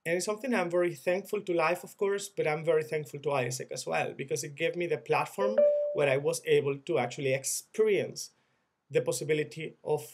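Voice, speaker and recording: Venezuelan man, 30s, fairly high voice; reflective video message, earnest, restarts often. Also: steady tone, a little fast, faint music behind. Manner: earnest, reflective, with frequent repeated words and restarts. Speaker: former student-organization leader sharing experience.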